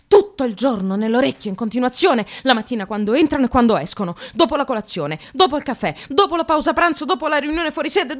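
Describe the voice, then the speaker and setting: An actress playing a resentful, frazzled receptionist in a theatrical radio monologue, volatile between clipped politeness and bitterness. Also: female voice, sits high, pushed and bright. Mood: exasperated outburst. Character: frazzled, resentful female receptionist in precarious work, near breakdown.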